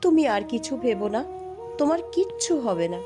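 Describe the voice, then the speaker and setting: A woman acts out a tender village wife and mother for a cartoon. Her voice is high and lively in its melody. She is caring and soothing, and music plays prominently underneath. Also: brisk. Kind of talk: cartoon story dialogue. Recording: music behind the voice.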